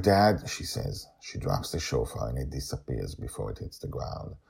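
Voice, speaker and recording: Man, storytelling podcast narrator, very deep, raspy husky edge, emphatic deliberate phrasing, lively intonation. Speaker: author and narrator of a serial audio story.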